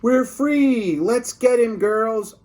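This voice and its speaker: A man's voice: extremely high pitch, slow, a little mellow, pitched above the performer's own voice. A man voicing gloating undead lunch ladies, taunting villains from a children's comic. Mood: triumphant.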